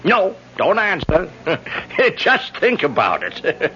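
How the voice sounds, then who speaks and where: An old, cynical politician who sounds folksy and chuckles often. His voice sits fairly high and swings widely in pitch. The broadcast sound is dull and vintage. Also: wildly swooping pitch, extremely slow, pushed and bright, exaggerated voice. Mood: wistful. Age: older. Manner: folksy, chuckling, world-weary. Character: aging male congressman, charming, cynical, self-mocking. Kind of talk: 1970s radio drama acting.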